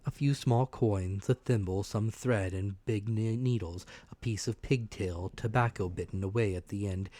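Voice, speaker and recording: A man giving a dramatic, scripted audiobook narration of an adventure story, measured and expressive. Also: fairly low pitch, no room sound.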